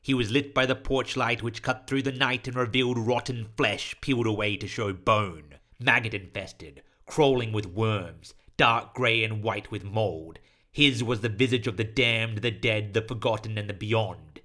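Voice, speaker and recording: A man narrating a scripted horror story in a solemn, deliberate, literary manner. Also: fairly low pitch, pushed and bright.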